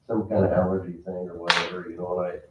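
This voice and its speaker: A hoarse man chatting casually and in a folksy way, as a county commissioner before a meeting. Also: sits low, completely flat delivery, quick pace. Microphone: distant room microphone. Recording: echoey room, distant mic, muffled, faint noise.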